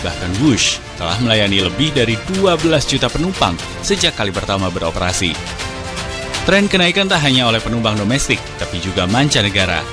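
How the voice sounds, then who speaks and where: News feature narrator: man, low and very varied delivery over a clear music bed.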